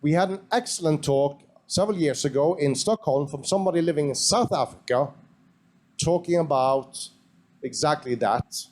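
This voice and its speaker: A man with a Norwegian accent, speaking in a measured way with pauses as he introduces speakers at a conference. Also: fairly high pitch, a little mellow. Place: conference hall.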